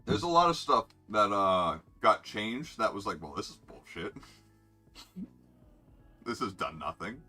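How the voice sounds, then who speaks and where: Bright, lively young man streaming. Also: lowish voice, takes it slowly, long pauses, husky, soft background music. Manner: rambling, joking, reads out player names. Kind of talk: casual live game commentary.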